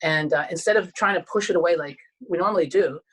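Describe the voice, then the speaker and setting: A woman in her thirties from Canada, talking casually and reflectively as a guest, with many pauses and restarts. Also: fairly low pitch, slightly rough. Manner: soft-spoken, reflective, many fillers and restarts. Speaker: guest, teacher and coach. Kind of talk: casual conversation.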